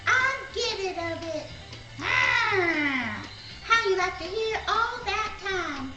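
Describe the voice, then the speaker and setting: A performer playing a tiny, indignant, sing-song ladybug in a roomy live radio play with loud music. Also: very high voice, animated, takes it slowly, exaggerated voice.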